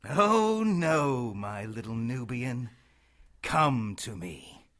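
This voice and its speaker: Theatrical, sharp-voiced witch villain, wildly swooping. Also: mid-range voice, expressive. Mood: taunting. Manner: theatrical, sinister, drawn-out taunting. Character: vengeful, arrogant male witch villain, menacing and taunting.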